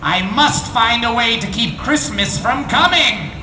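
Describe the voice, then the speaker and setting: A man's voice: extremely high pitch, animated, a little slow, bright, forceful tone, a little hiss or hum, voice pushed up, heavily acted. Male reader as villainous old grumpy creature for a storybook, snarling mischief, swooping delivery, roomy far-off sound with music. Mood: scheming. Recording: echoey outdoor PA from afar, music behind.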